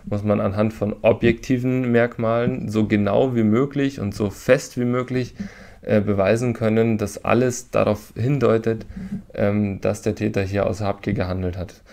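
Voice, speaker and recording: A man's voice: lowish voice, fairly even intonation, moderate pace, vocal fry, warm tone. A man giving methodical legal explanations in long sentences as an interview guest. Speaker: lawyer, expert guest.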